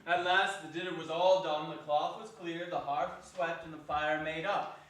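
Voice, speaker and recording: A man's voice: fairly high pitch, steady tone, moderate pace, fairly bright tone. A man giving a theatrical storybook narration as one of the readers in a staged reading. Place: indoor performance hall. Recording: echoey hall from far off.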